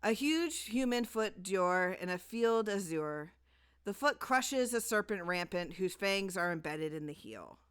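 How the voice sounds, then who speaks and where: A woman voicing a scheming gentleman who feigns polite, solicitous concern in a gothic storybook reading. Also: mid-range voice, animated, unhurried, smooth. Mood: coolly polite.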